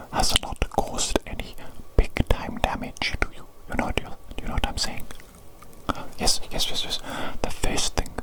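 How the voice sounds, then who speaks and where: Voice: natural voice, low-quality recording. A performer voicing a doting evil mad doctor who scorns humans, in a repetitive, fussing whisper for an ASMR medical roleplay.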